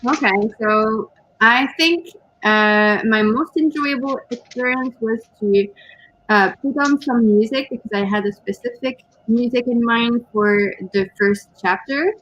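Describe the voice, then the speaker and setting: A woman whose first language is not English, chatting casually as co-host of a writing stream, often pausing and hunting for words. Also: on the high side, a bit bright, no room sound, muffled recording. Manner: hesitant, searching for words, giggly. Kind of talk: casual chat on a writing livestream.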